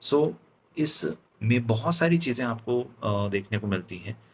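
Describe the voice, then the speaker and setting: A man with an Indian accent giving an instructional lecture as a speaking coach, in deliberate, halting phrases with frequent check-in questions. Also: mid-range voice, expressive, slight background noise, rough recording.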